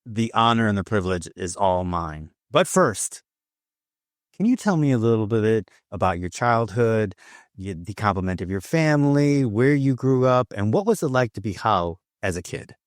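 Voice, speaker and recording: A man's voice: lowish voice, expressive, moderate pace, no room sound, clean studio sound. A man hosting his own podcast, talking in a hype-driven, effusive way as he introduces guests. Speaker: podcast host.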